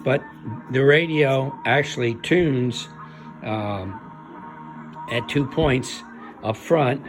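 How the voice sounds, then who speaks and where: Male hobbyist demonstrator, mid-pitched, very slow and hesitant, unscripted, with music playing behind. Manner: halting, hesitant explaining with trailing phrases. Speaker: radio restoration hobbyist demonstrating a restored set.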